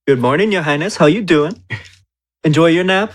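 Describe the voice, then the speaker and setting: Man, slightly high voice, tabletop game player, jovial, teasing, casual in-character banter. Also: normal speed.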